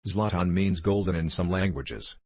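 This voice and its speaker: A man's voice: sits low, normal speed, darkish tone, slightly rough, no room sound. A man reading online forum comments aloud in a casual, offhand way. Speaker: comment reader.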